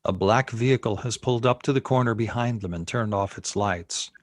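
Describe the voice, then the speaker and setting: A man's voice: lowish voice, fairly quick, no echo, clean studio sound. A man reading scene headings and stage directions as narrator at a screenplay table read.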